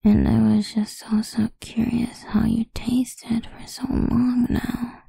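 A woman playing a possessive, seductive goth vampire idol in an intimate ASMR roleplay, breathy and husky, often whispering, slow and teasing, close on the mic. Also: a little high, little pitch movement, mellow, no room sound, exaggerated voice. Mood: possessive, hungry. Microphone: close studio-style microphone, dry.

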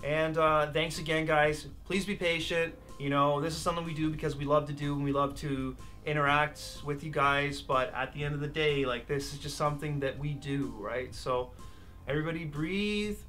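Young man, sharp bright voice, slightly high, playful reassuring co-host, casual chat, faint music behind. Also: steady tone, moderate pace. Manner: playful, reassuring, swears at times. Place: small room with game posters.

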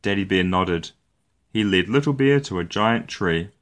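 Expressive low-voiced man reading a storybook. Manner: expressive, deliberate storytelling delivery. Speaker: storyteller, show host. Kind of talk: read-aloud children's picture book narration.

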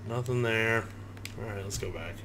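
A man's voice: fairly low pitch, moderate pace. A man giving casual, profane, reactive commentary on a video game he plays, talking to himself. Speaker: gamer recording a playthrough. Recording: clear background noise, game ambience.

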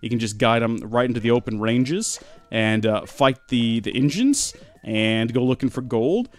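A young man giving rambling, deadpan joking commentary while streaming a video game live. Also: mid-range voice, normal speed.

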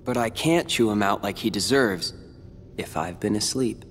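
An actor playing a calm, determined teenage boy hero in a video game, with a dry, brooding way of talking. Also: fairly low pitch, normal speed, slight background noise, natural voice. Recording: game audio with reverb and loud music.

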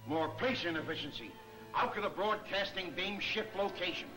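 An actor playing a pompous, scornful city mayor in an old 1940s film serial. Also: male voice, high-pitched, moderate pace, lightly acted, rough recording. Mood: indignant. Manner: blustering and scornful. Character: pompous, indignant city mayor, older man. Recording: 1940s film soundtrack with music.

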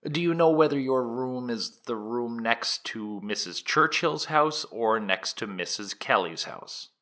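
A man acts a Victorian-era prosecutor in an audio courtroom drama. His voice is crisp-edged and bright, with animated intonation. He puts each question formally and methodically, courteous but probing. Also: mid-range voice, a little slow. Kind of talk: dramatic reading of an 1890s trial transcript.

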